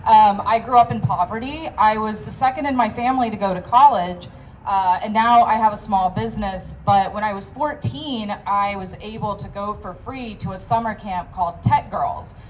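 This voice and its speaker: A woman's voice: medium pitch, moderate pace, slight background noise, poor audio. Earnest, hesitant young woman. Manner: nervous, earnest, hesitant fillers. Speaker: small business owner speaking at a town hall. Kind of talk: public comment at a rally.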